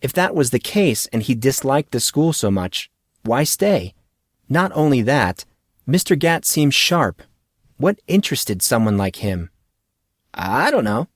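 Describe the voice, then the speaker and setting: A man's voice: mid-range voice, sing-song, unhurried, no echo, clean studio sound. A man reading fiction aloud as a scripted audiobook narrator.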